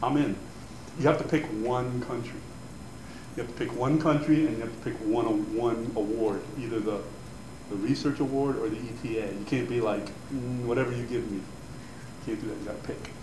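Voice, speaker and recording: A man's voice: mid-range voice, steady tone, normal speed. A man born in Cuba, a native Spanish speaker, talking informally to students about a grant program, chatty and rambling with many fillers and restarts. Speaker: advisor giving an info session. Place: room with an audience. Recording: echoey room, faint background noise.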